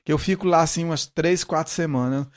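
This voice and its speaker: A middle-aged man with a Northeastern Brazilian accent softened by São Paulo, chatting as an interview guest and repeating words as he searches for the next one. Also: fairly high pitch, brisk, over a noisy crowd. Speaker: guest, musician. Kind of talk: casual interview conversation.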